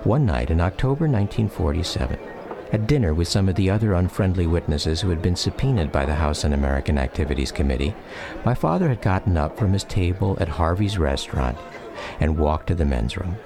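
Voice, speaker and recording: Deep-voiced, expressive male narrator. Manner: reflective, measured storytelling. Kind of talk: scripted autobiographical radio drama narration. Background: music.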